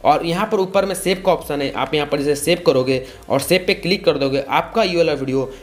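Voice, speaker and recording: A man's voice: on the high side, a little fast. A man, giving a casual, repetitive step-by-step tutorial. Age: 20s. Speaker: tutorial host. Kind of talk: casual video-editing tutorial.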